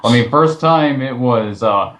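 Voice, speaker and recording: A man's voice: medium pitch, a little slow, fairly bright tone. A man co-hosting a review, giving conversational critical commentary in a questioning, wry way. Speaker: co-host, reviewer.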